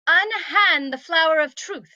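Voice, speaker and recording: Woman doing an imperious princess, high sharp voice, very dry sound, declaiming at everyone. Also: a little slow, no echo, muffled recording, heavily acted. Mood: haughty. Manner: haughty, declamatory. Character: haughty, imperious young fairy-tale princess. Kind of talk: table read of a comedy fantasy script.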